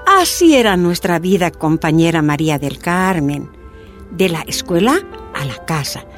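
A woman's voice: lowish voice, expressive, unhurried, faint music behind. A woman playing a proud, plain-spoken Bolivian activist giving testimony in a radio drama, with a Bolivian accent and emphatic delivery. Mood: reminiscing. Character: proud Bolivian miner's daughter telling her life, indignant activist.

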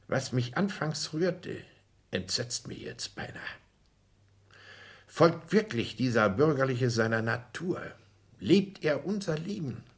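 An actor playing a genteel, proud count and father in a 1960s German radio drama, speaking in a formal, polished way. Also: mid-range voice.

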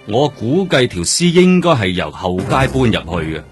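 An actor with a Hong Kong accent playing a seasoned, world-weary detective in a radio drama, speaking emphatically. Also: male voice, on the low side, very animated, normal speed, faint music behind. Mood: matter-of-fact. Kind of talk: Cantonese radio drama acting.

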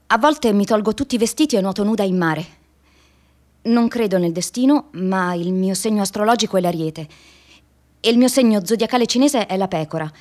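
A woman's voice: medium pitch, lightly acted. An actress playing a restless, imaginative young American woman in an introspective, vivid radio theatre monologue. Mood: candid, confiding. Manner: introspective, vivid first-person storytelling. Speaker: stage actress.

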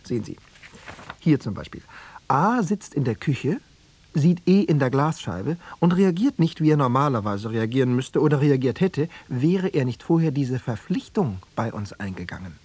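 A man's voice: medium pitch, very animated, normal speed, darkish tone, breathy edge. An actor playing a cool, pedantic male East secret police analyst, lecturing in in a self-assured way. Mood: self-satisfied analysis. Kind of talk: radio play dialogue.

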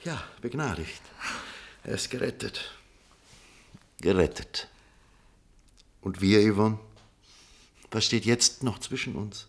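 An actor in a radio play, playing a devoted, composed doctor in love, speaking earnestly and deliberately. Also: male voice, lowish voice. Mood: relieved, hopeful. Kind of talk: German radio play acting.

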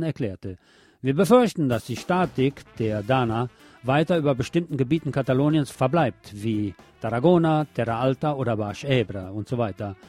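A man delivers a scripted news magazine report in a mellow, relaxed voice at a medium pitch. His reading has a wry, colloquial edge, with faint music underneath. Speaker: radio news presenter.

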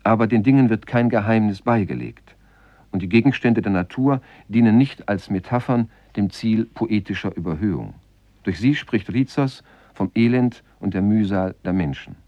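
A man with a low voice narrates a scripted essay on literature. His delivery is measured and formal, like a radio presenter introducing a play. The sound is very dry and a little muffled. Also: animated, dry recording. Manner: measured, formal, reading quotations.

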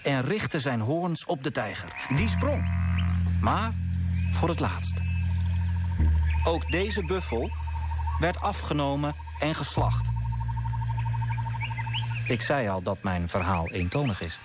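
An actor playing an earnest young man who tells a sorrowful tale in a measured radio play manner. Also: medium pitch, sing-song, music in the background. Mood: earnest storytelling.

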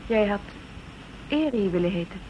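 Actrice als beleefde, opmerkzame assistente. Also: female voice, medium pitch, lively intonation, normal speed, highs cut off, lightly acted. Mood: gentle, tentative. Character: polite, perceptive female assistant, gentle and deferential. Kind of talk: Dutch radio drama dialogue. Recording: old radio recording with faint noise.

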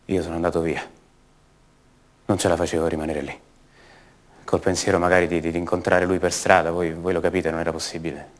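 An actor playing an anguished young son of a disappeared mother in a radio drama monologue, halting and repeating words with intensity. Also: low voice, little pitch movement, lightly acted. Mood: subdued, bitter. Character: anguished young son of a disappeared woman, raised by her captors.

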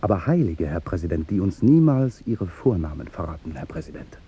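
An actor playing an earnest, deferential café waiter in a 1950s radio drama, low, dark and husky, speaking formally and with some hesitation. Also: male voice, sing-song, fairly quick, lo-fi sound, exaggerated voice. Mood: respectful.

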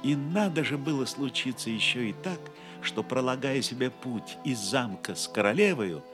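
A man narrating an audio play as a dramatic storyteller, theatrical and measured. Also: medium pitch, lively intonation, music in the background.

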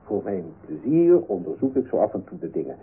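An earnest, self-important male amateur researcher in a radio comedy. His voice is extremely husky and rises and falls animatedly as he makes portentous statements. It sounds thin, like old radio. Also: fairly low pitch, very animated, a little fast, heavily acted. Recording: narrow-band old radio recording.

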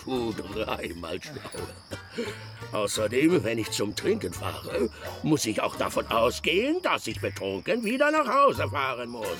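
An actor in a radio drama playing a loud, cantankerous, hard-drinking pig-farmer uncle who curses and scolds. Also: male voice, medium pitch, wildly swooping pitch, unhurried. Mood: gruff, scolding. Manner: blustering, cursing, scolding. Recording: music behind the voice.

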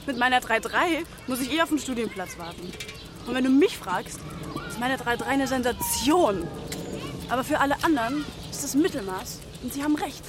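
A woman's voice: sits high, expressive, normal speed, background noise. An actress in her twenties playing a frustrated, disillusioned young graduate in naturalistic radio drama, arguing and questioning. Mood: bitter, agitated. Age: 20s. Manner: argumentative, questioning.

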